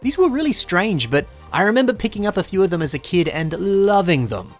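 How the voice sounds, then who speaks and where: A man's voice: high voice, very animated, a little fast, soft background music. A young man, talking casually over a shopping vlog and weighing up toy prices. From Australia. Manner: chatty, opinionated about prices. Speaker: toy collector vlogger.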